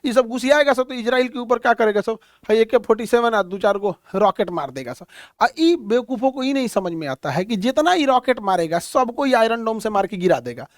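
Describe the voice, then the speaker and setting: A man lecturing on current affairs in a blunt, folksy, animated way, full of homely comparisons. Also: extremely high pitch, brisk, dry recording, studio quality. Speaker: teacher.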